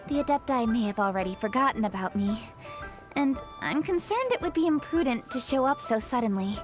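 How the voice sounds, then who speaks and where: A woman's voice: on the high side, expressive, fairly quick, close to an everyday voice. A woman playing a polite, efficient young noblewoman official in a video game, formal and considerate. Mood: earnest. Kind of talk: video game cutscene acting. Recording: background music.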